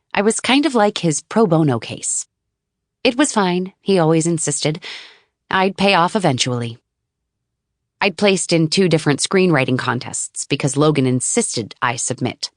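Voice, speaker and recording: A woman's voice: sits low, no echo, studio quality. A woman reading a first-person novel as a scripted audiobook narrator.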